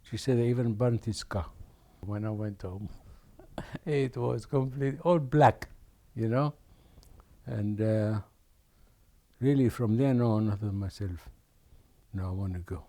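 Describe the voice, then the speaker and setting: Slow, mellow older man recalling his past. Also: on the low side. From Aden, Yemen. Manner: slow, reflective, with many restarts and pauses. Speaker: interviewee. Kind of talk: oral history interview.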